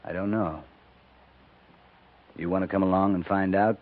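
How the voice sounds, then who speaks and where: A man's voice: deep voice, steady tone, normal speed, close to an everyday voice. A seasoned American cavalry captain acted by a man in a 1950s radio western. He is stoic and unsentimental, giving orders in a clipped, dry way. The sound is thin and narrow, like an old broadcast. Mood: terse, stern. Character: seasoned cavalry captain, stoic, terse, gravely matter-of-fact. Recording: tinny band-limited 1950s radio recording.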